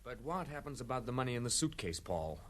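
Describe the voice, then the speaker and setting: An actor playing a detective's frank, inquisitive friend in a radio drama, brisk and informal. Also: male voice, mid-range voice, very animated, natural voice. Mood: brisk, questioning.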